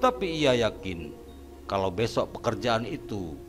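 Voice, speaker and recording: A man giving steady scripted narration for a horror cartoon. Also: lowish voice, unhurried, faint music behind. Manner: steady storytelling.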